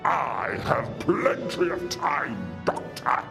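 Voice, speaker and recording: An actor playing a grandiose, vengeful alien warlord in an audio drama, declaiming with theatrical menace. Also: male voice, fairly high pitch, sing-song, slow pace, creaky, heavily acted. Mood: defiant. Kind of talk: audio drama villain acting. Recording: long reverb with music underneath.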